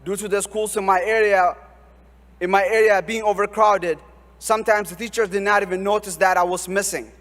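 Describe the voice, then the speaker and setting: A young man giving an earnest, measured commencement speech as a student speaker in a large ceremony hall. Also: sits high, steady tone, fairly bright tone. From Oromia, Ethiopia. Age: young adult. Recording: long hall reverb.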